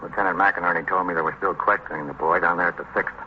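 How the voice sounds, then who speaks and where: Man acting American detective lieutenant, deep voice, fast clipped delivery, businesslike, vintage radio police drama. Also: steady tone, lightly acted. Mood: terse, factual. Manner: clipped, matter-of-fact reporting. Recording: muffled old radio recording, faint noise.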